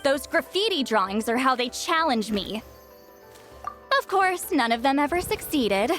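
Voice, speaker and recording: A woman's voice: high-pitched, big pitch swings, unhurried, fairly bright tone, faint music behind, heavily acted. A woman voicing a proud, boastful, easily flustered great shaman in a video game. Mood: proud, aloof. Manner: haughty, boastful, tsundere defensiveness.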